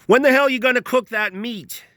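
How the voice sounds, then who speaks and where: A man voicing a loud, hot-tempered, hungry male ranch worker who shouts and threatens crudely. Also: mid-range voice, animated, normal speed, no echo, studio quality, pitched above the performer's own voice, natural voice. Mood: angry.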